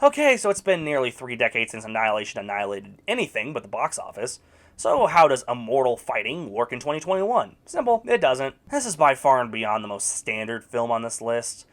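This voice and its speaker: Lively sarcastic young movie reviewer. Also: mid-range voice, a little fast, faint music behind. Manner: sarcastic, animated, blunt verdicts. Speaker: video reviewer. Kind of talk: scripted movie review commentary.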